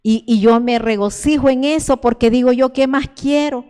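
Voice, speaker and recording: Older woman, salvadoran spanish accent, church sermon, fervent and repetitive, restarts words, fairly high voice, long reverb of a church hall. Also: fairly even intonation, moderate pace, darkish tone, clean tone. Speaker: church teacher. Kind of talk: sermon teaching.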